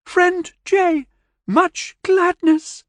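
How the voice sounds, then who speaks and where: A man voicing a young female alien creature who speaks in slow, broken, formal phrases. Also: very high voice, fairly even intonation, fairly bright tone, dry recording, studio quality, voice pushed up, heavily acted. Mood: earnest.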